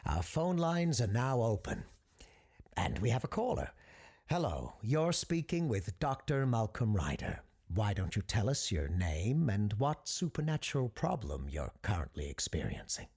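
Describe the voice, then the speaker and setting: An actor playing a courteous parapsychologist who hosts a radio call-in show in an audio drama, gravelly, measured and formal. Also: male voice, fairly low pitch, wildly swooping pitch, a little mellow, heavily acted. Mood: courteous, showy. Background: music under the voice.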